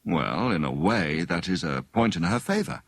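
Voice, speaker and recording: A man voicing a genial, encouraging young gentleman amateur detective in an audiobook story, speaking in a breezy conversational way. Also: deep voice, lively intonation, moderate pace, dry recording, clean studio sound, pitched below the performer's own voice. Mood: considering.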